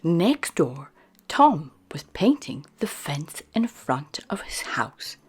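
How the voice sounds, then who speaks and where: A woman reading a children's picture book aloud in a gentle, soft narration. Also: deep voice, sing-song, takes it slowly, husky, faint music behind. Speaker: storyteller.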